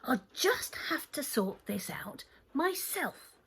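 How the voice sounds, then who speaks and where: A woman's voice: sits high, big pitch swings, takes it slowly, fairly bright tone, in a whisper, heavily acted. A woman voicing an earnest, polite little girl in a children's storybook, speaking expressively. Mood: puzzled.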